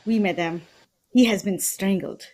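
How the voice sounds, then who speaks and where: A woman's voice: mid-range voice, unhurried, very noisy, pitched below the performer's own voice, lightly acted. A woman acting a polite, fussy Belgian male detective with a French accent and formal, theatrical delivery, in radio mystery style. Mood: grave, courteous.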